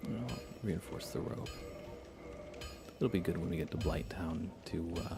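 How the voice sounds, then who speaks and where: A man's voice: low voice, unhurried, creaky, over music. A man hosting a casual ASMR game livestream, chatty and informal, sometimes slipping toward a whisper. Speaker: livestream host.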